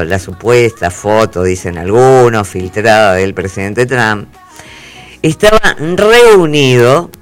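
A man's voice: medium pitch, clear, resonant tone. A man hosting a radio talk show, telling news stories in a playful way full of asides. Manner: playful, drawn-out storytelling with asides. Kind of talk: chatty news segment.

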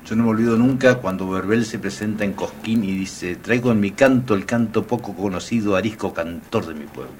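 A man's voice: fairly low pitch, brisk, few pauses, a little mellow, a little hiss or hum. A man with an Argentine Spanish accent hosting a radio interview, friendly and teasing. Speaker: radio host.

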